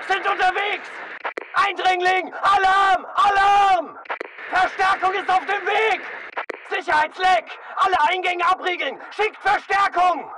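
A hardened video game soldier, pitched very high for a male voice. He fires off clipped, urgent military orders and reports with disciplined aggression. He sounds some way from the mic, with loud noise all around him. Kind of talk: video game battle chatter, military barks. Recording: distant-sounding, heavy noise behind.

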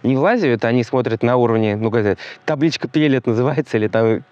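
A man's voice: medium pitch, lively intonation, fast, darkish tone, lo-fi sound. A man in his thirties, a startup founder, explaining things hesitantly in a casual interview. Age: 30s.

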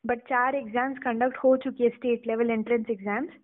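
A woman's voice: a little high, fairly even intonation, fairly quick. A young woman with an Indian accent, a student caller making a deferential appeal over the telephone. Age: young adult. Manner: deferential, often saying "sir". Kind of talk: phone conversation, making an appeal. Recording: muffled, narrow-band recorded phone call.

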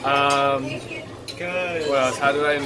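A man's voice: mid-range voice, completely flat delivery, takes it slowly, very noisy. A young man from Hawaii with an American accent, casually answering questions on camera. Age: young adult. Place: busy restaurant table. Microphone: camera at table distance. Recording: loud restaurant chatter, clinking cutlery.

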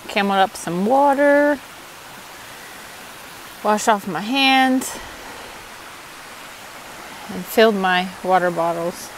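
A woman's voice: mid-range voice, a little hiss or hum, rough recording. Chatty woman vlogging on a forest trail. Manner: chatty, candid, a little tired. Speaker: thru-hiker vlogger. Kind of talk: casual walking vlog narration. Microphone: handheld phone camera.

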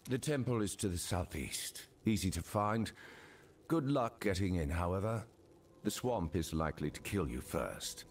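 An actor playing a brusque, world-weary, middle-aged port master in a video game, irritable and dismissive. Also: male voice, deep voice, expressive, moderate pace. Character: gruff, irritable male port master of a swamp island. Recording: noisy game ambience behind.

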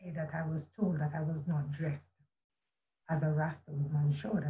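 A woman with a somewhat low voice speaks earnestly and reflectively. She tells a personal story as a guest on a webcam call from a room at home. She often restarts her sentences and slips into quoted speech. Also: fairly even intonation, moderate pace, mellow, poor audio. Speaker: author and guest. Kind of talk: storytelling in a video call interview. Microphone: video call webcam.

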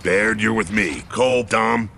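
A gruff, grizzled squad sergeant barks short commands. His voice sits very low with a gravelly rasp, and noisy ambience runs behind him in this game scene. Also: unhurried. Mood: commanding. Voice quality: gravelly, deep. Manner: terse, commanding.

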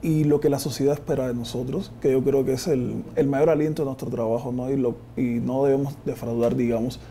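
A man's voice: medium pitch, fairly even intonation, fairly quick, mellow, a little hiss or hum. A man in his thirties with a Cuban accent, a research scientist explaining things in a documentary interview, recorded on a lapel mic. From Cuba. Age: 30s. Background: background music.

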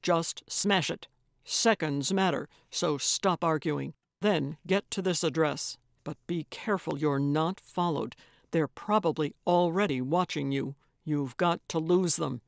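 A woman's voice: low-pitched, unhurried, creaky, dry recording, studio quality. A woman voicing a commanding, secretive man in an audiobook, terse and tightly controlled as the figure gives urgent orders. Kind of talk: audiobook character voice.

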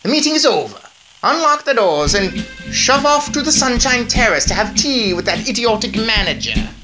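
A man voicing an imperious, gloating evil witch who yells in drawn-out commands for a storybook. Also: very high voice, sing-song, music in the background, pitched above the performer's own voice, rough recording. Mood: scornful, commanding. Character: shrieking, gloating, imperious evil Grand High Witch, female.

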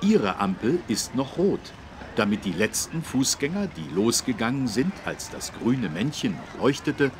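A man's voice: medium pitch, very animated, unhurried. An actor playing a friendly policeman who patiently explains things to children in a kids' game. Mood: patient, instructive. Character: friendly adult male policeman explaining road safety. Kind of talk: scripted children's game dialogue. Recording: street traffic noise behind. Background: city street traffic.

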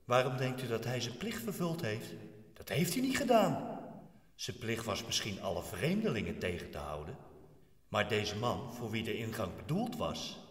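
A man's voice: on the low side, huge pitch swings, moderate pace. Actor as insistent man in an echoing hall. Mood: insistent, protesting. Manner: insistent, reasoning, protesting. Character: questioning, argumentative man arguing with a chaplain. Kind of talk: radio drama acting. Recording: echoey, reverberant hall, distant from microphone.

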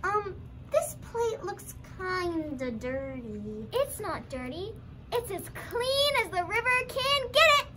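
A woman's voice: very high voice, sing-song, takes it slowly, full character voice. A young female student voicing a puppet character in a scripted comic puppet show. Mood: friendly, sly. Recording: faint background noise.

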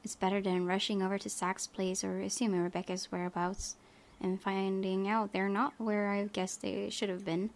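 A woman reading visual novel narration aloud as a let's play host, softly, with casual asides. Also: mid-range voice, level tone, normal speed.

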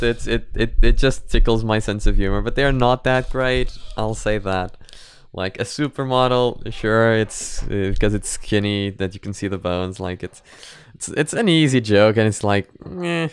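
A man's voice: fairly low pitch, moderate pace, smooth. A man in his twenties giving casual reaction commentary into a close microphone, hesitating and repeating words as he goes. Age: 20s. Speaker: reaction video host. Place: small home room, indoors. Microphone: close microphone on boom arm.

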